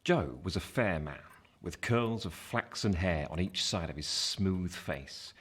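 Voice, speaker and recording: A man's voice: sits low, lively intonation, a little slow, fairly bright tone, husky, full character voice. A man playing a reflective adult narrator recounting his childhood, in dramatic literary style with an English accent. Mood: wry, storytelling. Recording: faint background noise.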